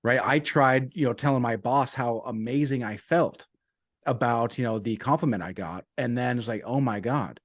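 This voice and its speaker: A man's voice: mid-range voice, moderate pace, no echo, studio quality. A man talking as a coach guest in a casual interview, earnest, repeating his key sayings and dropping "man" and "you know" as he goes. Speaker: business and life coach guest.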